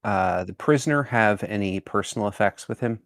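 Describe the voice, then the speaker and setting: A man's voice: fairly low pitch, brisk, no echo, clean studio sound. A man speaking as a player in a tabletop roleplaying game, asking questions and stating his actions.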